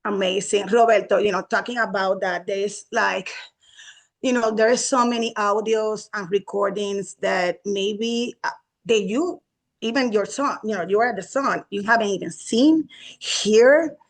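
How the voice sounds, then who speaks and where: A woman's voice: mid-range voice, a little slow. Effusive Puerto Rican woman interviewer. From Puerto Rico. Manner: effusive, asks long rambling questions.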